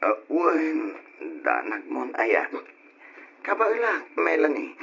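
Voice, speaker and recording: An actor playing a cheerful young man in an old Ilocano radio drama, animated and full of exclamations. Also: on the high side, takes it slowly, gravelly, husky, exaggerated voice. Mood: insistent, tipsy. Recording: dull lo-fi old radio recording.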